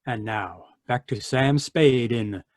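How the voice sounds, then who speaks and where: A man announcing a commercial in the style of a 1940s radio pitch. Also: slow.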